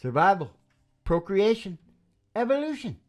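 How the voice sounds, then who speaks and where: A woman's voice: mid-range voice, very animated, normal speed, a little mellow. A woman hosting a show with casual, rambling banter, philosophizing and joking in a colloquial way. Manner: philosophizing, jokey, colloquial with swearing. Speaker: radio show host and musician.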